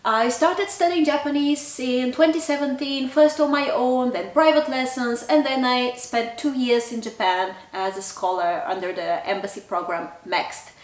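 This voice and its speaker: A woman's voice: sits high, fairly even intonation, moderate pace, few pauses. Woman in a roomy, echoey space. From Bulgaria. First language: Bulgarian. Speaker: language channel host. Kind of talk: casual talk to camera. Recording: roomy echo, distant mic.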